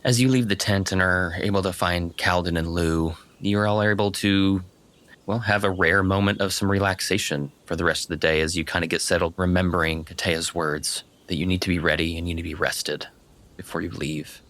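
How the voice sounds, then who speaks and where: A man working as game master, narrating a tabletop roleplaying game in a deliberate, descriptive way. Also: low-pitched, steady tone, fairly bright tone.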